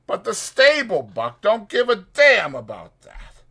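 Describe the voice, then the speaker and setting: A man's voice: high-pitched, huge pitch swings, a little slow, gravelly, bright, forceful tone, voice pushed up, full character voice. A man voicing a chatty old ranch swamper with rambling, folksy speech for a dramatized audiobook. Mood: excited, gossipy.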